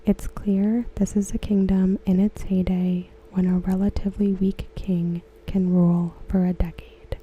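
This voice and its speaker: A woman reading aloud softly for ASMR, gentle and hushed, with a breathy voice that often slips into a whisper. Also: medium pitch, flat delivery, unhurried, few pauses, narrow, tinny audio. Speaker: ASMR host. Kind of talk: ASMR, soft-spoken reading of discussion comments.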